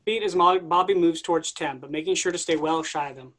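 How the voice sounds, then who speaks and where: A man reading stage directions aloud in a plain, even way during a staged reading. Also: a little high, brisk.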